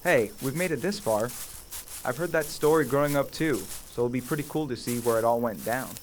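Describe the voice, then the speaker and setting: A practical young man played by an actor banters in a horror audio drama, easygoing and ready to tease. His pitch is average for a man, and faint night ambience sits behind the voice. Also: a little fast, a little hiss or hum, lightly acted, poor audio. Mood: practical, relaxed. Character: Hector, teasing, practical, easygoing young male friend.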